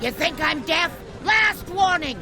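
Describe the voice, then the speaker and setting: Crazed, greedy, gravelly woman looter. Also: very high voice, animated, measured pace, shouting, full character voice. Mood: threatening. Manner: menacing, rustic slangy talk. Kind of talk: video game audio drama acting.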